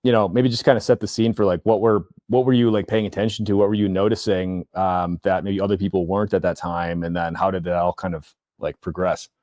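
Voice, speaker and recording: A man's voice: low voice, flat delivery, fairly quick, hardly any pauses, a little mellow, no room sound, clean studio sound. A man hosting a podcast, asking long, rambling questions with many fillers and restarts in casual chat. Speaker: podcast host.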